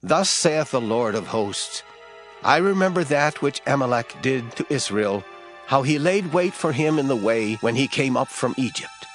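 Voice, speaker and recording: A man playing a solemn, authoritative prophet in dramatized scripture, speaking in a grave, measured declamation. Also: medium pitch, animated, lightly acted. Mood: grave, commanding. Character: solemn, authoritative prophet delivering God's command. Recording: faint music behind the voice.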